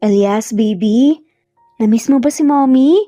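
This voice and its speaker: A woman's voice: on the high side, measured pace, dark tone, no echo, studio quality, faint music behind, close to an everyday voice. Woman playing a saccharine, haughty rich ex-wife. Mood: falsely sweet. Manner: saccharine, condescending. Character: sweet-faced, scheming, haughty rich ex-wife, villainous.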